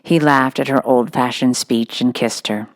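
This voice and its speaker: A woman's voice: rumbling low, fairly even intonation, normal speed. A woman reading a children's novel aloud as narrator, in a scripted storybook style.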